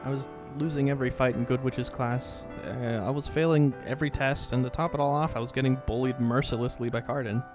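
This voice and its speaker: A man's voice: mid-range voice, fairly even intonation, brisk, hardly any pauses, over music, lightly acted. A man playing a downcast young ex-student turned mobster, confessing his past in a dramatic fan fiction reading. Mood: resigned, depressed.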